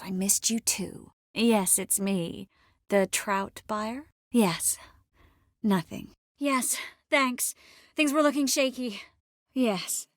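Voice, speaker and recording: An actress playing a poised, caring yet firm sorceress in scripted video game dialogue. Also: female voice, medium pitch, dry recording, studio quality, lightly acted. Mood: calm.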